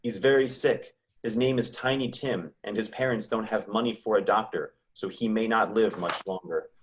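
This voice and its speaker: Grave spirit guide, played by a man, low voice, moralizing, for a holiday drama read. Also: moderate pace, a little hiss or hum, close to an everyday voice, low-quality recording.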